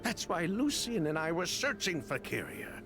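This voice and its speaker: An actor playing a bitter, defiant swindler in a video game, with a deliberate, emotive delivery. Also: male voice, sits high, sing-song, music in the background. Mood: grave, explanatory. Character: bitter, defiant male swindler facing prison. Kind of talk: dramatic video game voice acting.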